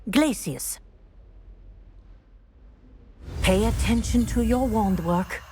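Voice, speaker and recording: An actress playing a dignified, principled female professor in a game, speaking in a measured, precise, formal way. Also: a little high, darkish tone, slight background noise, natural voice. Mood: instructive, approving. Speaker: professor and flying teacher. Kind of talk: scripted game dialogue, storytelling.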